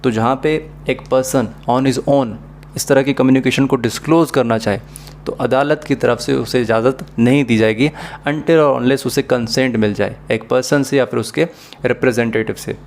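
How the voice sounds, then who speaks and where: A man's voice: mid-range voice, steady tone, normal speed, a little mellow, a little hiss or hum. A man with a Pakistani accent explains material as a law lecturer, in a methodical, didactic way. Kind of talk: explanatory lesson mixing Urdu and English.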